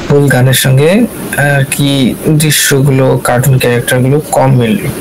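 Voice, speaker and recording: Man, tutorial instructor, flat intonation, informal think-aloud delivery, noisy recording with steady hiss. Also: mid-range voice, a little fast. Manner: thinks aloud with frequent check-in questions. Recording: clear steady background hiss.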